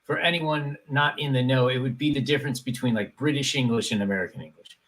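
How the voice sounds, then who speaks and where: Man hosting a relaxed interview. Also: medium pitch, fast talker, rough recording. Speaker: podcast host. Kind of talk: casual interview chat.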